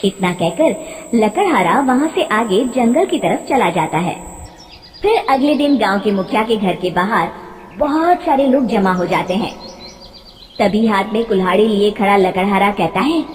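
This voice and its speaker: A woman's voice: mid-range voice, expressive, normal speed, a little hiss or hum. A woman narrating a scripted story in a dramatic storytelling style. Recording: long reverb.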